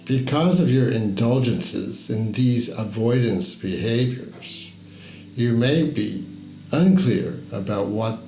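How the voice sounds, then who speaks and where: A man with a lowish voice leads a guided meditation, telling it as a story. He speaks very slowly and deliberately, leaving space between phrases. The voice is steeped in echo, with music underneath. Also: darkish tone. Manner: slow, measured, deliberate pauses between phrases. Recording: echoey voice over music.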